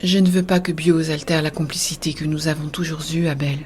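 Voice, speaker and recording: A woman playing an earnest, idealistic woman in a French science fiction audio drama, speaking softly and reflectively. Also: low voice, fairly even intonation, brisk, a little hiss or hum, close to an everyday voice. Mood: tender, hurt.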